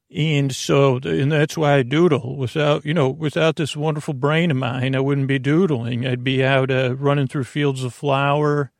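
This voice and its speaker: A man with a heavily creaky, gravelly voice of medium pitch hosts a bedtime podcast. He wanders off on whimsical tangents, interrupting and doubting himself with self-deprecating humour. The recording is close and dry, with very little room sound. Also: dry recording. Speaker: podcast host. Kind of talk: rambling bedtime sleep podcast monologue.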